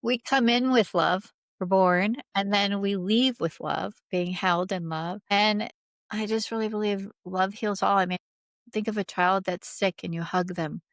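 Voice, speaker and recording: Female podcast guest, mid-pitched, earnest informal storyteller, dry studio-close sound. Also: fairly even intonation, measured pace, no room sound. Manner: earnest, informal, frequent "like" and "right?". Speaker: podcast guest, spiritual healer. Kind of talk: conversational storytelling, reading out testimonials.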